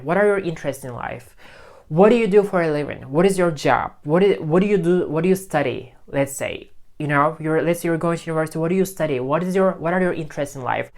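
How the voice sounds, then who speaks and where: An English speaker talks through his learning routine in a relaxed vlog. He restates each point two or three ways as he works out how to say it, and his tone stays friendly and unhurried. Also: fairly high pitch. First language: Turkish. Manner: repeats and rephrases himself while explaining. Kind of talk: casual teaching vlog.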